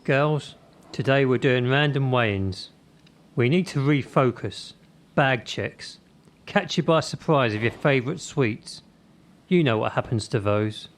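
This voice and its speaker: A man's voice: mid-range voice, a little slow, lightly acted. A man acting the part of a hard-driving, menacing gymnastics coach in a radio play, firing out emphatic short commands and rhetorical questions. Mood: stern. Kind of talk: radio drama acting, motivational tirade.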